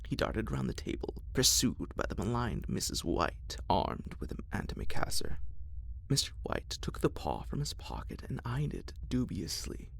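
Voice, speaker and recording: A man reading a horror story aloud in a soft ASMR whisper. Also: medium pitch, sing-song, moderate pace, gravelly, a little hiss or hum. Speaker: narrator.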